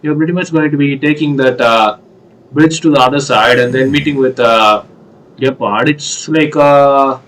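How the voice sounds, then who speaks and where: A man giving casual playthrough commentary, halting as he searches for words. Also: medium pitch, fairly bright tone, recorded from a distance, rough recording. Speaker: gaming video creator.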